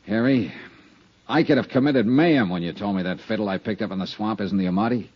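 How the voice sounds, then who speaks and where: An actor playing a brisk, dogged, skeptical insurance investigator in a 1950s radio drama, clipped and wry. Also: male voice, on the low side, a little hiss or hum, close to an everyday voice. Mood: insistent, wry. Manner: clipped, wry, pressing questions. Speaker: series lead and narrator. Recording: dull 1950s radio recording with music.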